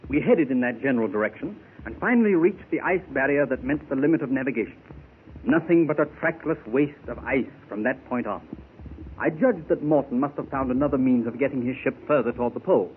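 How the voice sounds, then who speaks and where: A man playing a seasoned Arctic explorer captain, telling his adventure in a formal, measured way, in 1930s radio drama style. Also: medium pitch, lively intonation, natural voice. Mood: recounting. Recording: tinny old 1930s radio transfer with faint hiss.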